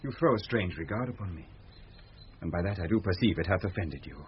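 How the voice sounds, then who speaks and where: An actor with a British accent playing an ardent young gentleman, speaking emotional Shakespearean verse in a radio drama. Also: low-pitched, expressive, fast, a little hiss or hum. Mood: apologetic, tender. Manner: emotional, declamatory verse delivery. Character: young gentleman, ardent twin brother thought drowned. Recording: muffled old radio broadcast recording.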